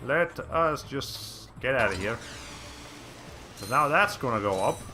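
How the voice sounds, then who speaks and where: A male streamer with a raspy voice giving casual, rambling commentary while playing a game, often restarting his sentences. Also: medium pitch, lively intonation, unhurried, noisy background. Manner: rambling, chatty, frequent restarts.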